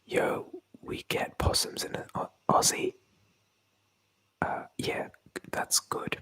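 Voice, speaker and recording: A man's voice: slow, fairly bright tone, no room sound. A man whispering through an ASMR livestream, rambling and joking as he reads viewer comments aloud. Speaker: ASMR streamer.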